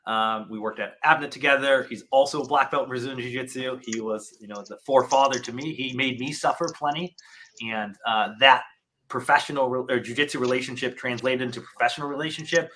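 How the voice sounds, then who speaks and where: A man's voice: mid-range voice, a little fast, sharp tone. A male startup founder with an American accent, a podcast guest answering in an earnest, heartfelt way. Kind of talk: casual interview answers. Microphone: video call.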